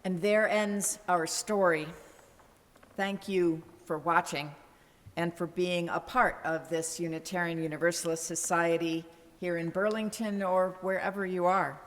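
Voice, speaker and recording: A woman's voice: fairly low pitch, steady tone, measured pace. A woman giving scripted closing remarks to a congregation as a church staff member. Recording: long hall reverb.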